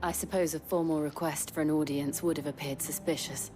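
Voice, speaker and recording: A woman playing a very low-voiced, dryly wry companion. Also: fairly even intonation, a little fast, lightly acted. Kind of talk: dramatic fantasy game acting. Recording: game music behind the dialogue.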